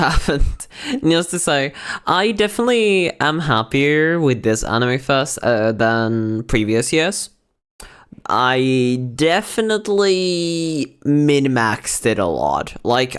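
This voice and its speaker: Hoarse young man rambling on stream. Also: mid-range voice. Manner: animated, slangy and profane, rambling with restarts. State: hoarse, voice worn out. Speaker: VTuber streamer. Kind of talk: casual livestream chat. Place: own bedroom.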